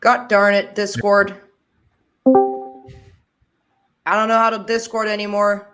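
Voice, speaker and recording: A woman's voice: mid-range voice, flat delivery, takes it slowly, fairly bright tone, faint music behind. Laughing Brazilian woman hosting a stream. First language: Portuguese. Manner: laughs often, casual, swears lightly. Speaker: stream host. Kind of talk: casual live-stream chat.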